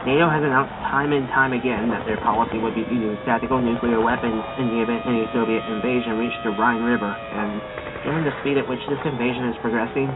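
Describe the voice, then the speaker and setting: A man's voice: medium pitch, steady tone, fast talker, loud music behind the voice, natural voice. Man acting as lo-fi radio war correspondent. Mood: sober, uneasy. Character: male radio war correspondent reporting from a besieged city. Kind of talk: news field report.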